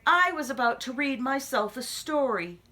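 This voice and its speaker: A woman's voice: high-pitched, animated, moderate pace, sharp tone, pitched above the performer's own voice, heavily acted. A woman voicing a polite, fussy male rabbit in a storybook, prim and put-upon. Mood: reluctant, put-upon. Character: polite, reluctant, fussy male rabbit who finally snaps.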